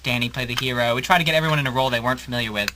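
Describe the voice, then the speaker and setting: A man's voice: medium pitch, rapid-fire, background noise. A man chatting casually as a cast member and editor of an amateur audio drama. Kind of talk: casual chat.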